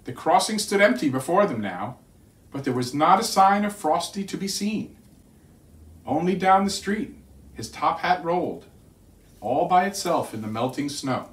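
A middle-aged man reading a children's storybook aloud in an expressive, deliberate storytelling manner. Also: a little high. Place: living room by a fireplace, indoors. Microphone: distant camera. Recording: roomy living room, distant mic.

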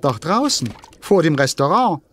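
A man narrating a children's audio drama in a lively scripted storytelling style. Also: fairly high pitch, measured pace, faint music behind.

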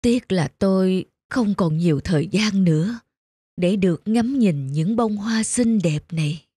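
A woman voicing a gentle, ailing old farm wife in a cartoon, tender and wistful. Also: medium pitch, a little slow, breathy edge, no echo. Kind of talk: cartoon dubbing.

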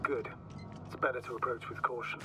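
A man playing a cautious, concerned space mission commander in a video game, dry and measured, heard through a radio. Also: mid-range voice, level tone, over loud music. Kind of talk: naturalistic video game voice acting. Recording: noisy radio link.

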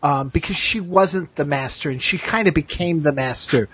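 A man hosting a podcast in casual chat, enthusiastic, halting and circling back over his points. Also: a little high, a bit bright, breathy edge, no room sound. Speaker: podcast host.

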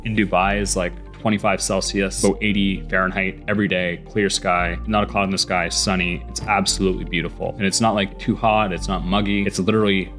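A man's voice: sits low, level tone, brisk, few pauses. A young Canadian man talking straight to camera in a matter-of-fact, explanatory way as a vlog host, close to a studio microphone. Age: young adult. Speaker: host, e-commerce entrepreneur. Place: small indoor studio room. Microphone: boom-arm broadcast mic close by. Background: soft background music with guitar.

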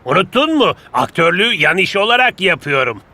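A man's voice: high-pitched, big pitch swings, fairly quick. A man voicing a vain, smug part-time actor in a cartoon, speaking with a self-satisfied air. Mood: smug, amused. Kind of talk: cartoon dubbing.

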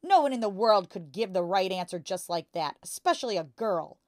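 Woman playing a loud, boastful crooked father. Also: medium pitch, animated, normal speed, no room sound, clean studio sound, natural voice. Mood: angry, shouting. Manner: loud, bragging, hectoring.

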